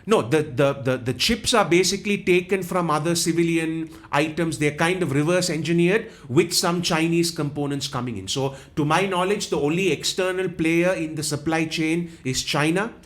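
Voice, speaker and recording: A man's voice: fairly high pitch, normal speed, few pauses. A man from Sri Lanka with a Jaffna accent, explaining world affairs as an interview guest in an analytical, repetitive way with many restarts. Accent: Jaffna Tamil-influenced Sri Lankan English. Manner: explanatory, repeats himself, frequent restarts.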